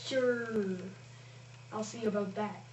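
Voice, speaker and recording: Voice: medium pitch, steady tone, takes it slowly, reverberant, recorded from a distance, slight background noise, close to an everyday voice, poor audio. A woman voicing a cheeky, cocky young boy who thinks he is cool, in a homemade puppet show.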